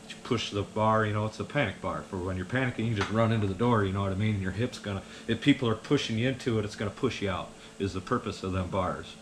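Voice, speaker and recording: A man's voice: on the low side, a bit bright. Rambling man over background noise. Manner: folksy, meandering, chuckles at own stories. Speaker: vlogger telling personal stories. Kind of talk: casual rambling storytelling.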